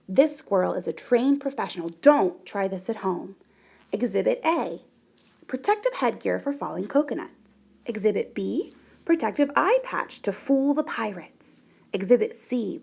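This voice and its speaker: Animated, high-pitched woman reading a storybook. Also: creaky, slightly rough. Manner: animated, deliberate, dramatic emphasis. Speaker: storybook reader. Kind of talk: expressive children's picture book read-aloud.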